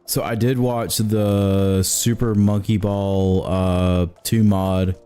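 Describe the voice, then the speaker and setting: Male streamer, relaxed low tone, jokey casual chat over faint game music. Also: takes it slowly, clear, resonant tone. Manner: playful, jokey. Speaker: livestream host playing a game.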